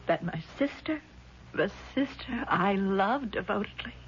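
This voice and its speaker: An actress playing a distraught, accusing sister, grieving and frightened, in intense 1970s radio drama style. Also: female voice, medium pitch, animated, measured pace, lightly acted. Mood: bitter accusation. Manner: intense, melodramatic, emphatic. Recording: dull, noisy vintage radio recording.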